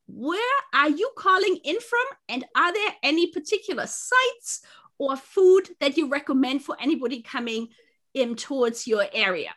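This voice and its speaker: Higher-voiced woman hosting a podcast. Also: a bit bright. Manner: enthusiastic, gushing welcome. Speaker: podcast host. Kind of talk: scripted intro, then friendly interview chat. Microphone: video call.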